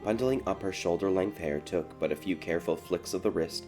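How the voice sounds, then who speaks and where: Low-voiced man narrating a dark tale. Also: brisk. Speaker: audiobook narrator. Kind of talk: dramatic scripted fairy tale narration. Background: music.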